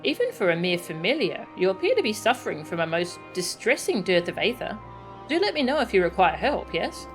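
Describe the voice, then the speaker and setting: A woman's voice: medium pitch, very animated, fairly quick, lightly acted. A woman voicing a polite, attentive guide in a video game, speaking in a formal, solicitous manner. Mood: concerned. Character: polite, attentive guide addressing a small familiar. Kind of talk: video game dialogue read aloud. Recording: game music behind the voice.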